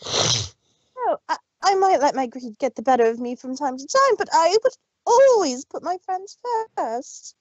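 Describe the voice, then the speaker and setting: High, swooping fashion mare, stammering, a woman acting. Dry, muffled. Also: very animated, unhurried, dry recording. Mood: pleading. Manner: stammering, tearful pleading. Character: dramatic young fashion unicorn mare.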